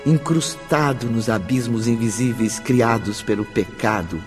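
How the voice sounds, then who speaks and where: A man's voice: medium pitch, a little slow, natural voice. A man as a solemn storyteller, narrating a radio drama in a grave, dramatic, literary way. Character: solemn third-person storyteller. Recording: background music under narration.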